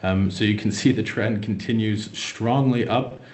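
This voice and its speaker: A man's voice: lowish voice, moderate pace, darkish tone, roomy sound. A young American man presenting a finance webinar, hesitant with many fillers and restarts. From United States. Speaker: wealth manager presenting a webinar.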